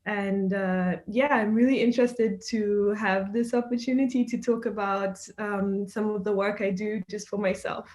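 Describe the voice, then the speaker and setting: A young woman who grew up in Zimbabwe talks as a guest in an online discussion. She is informal but measured and thoughtful, with a medium-pitched voice. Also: fairly even intonation, a little mellow. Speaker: guest, architect.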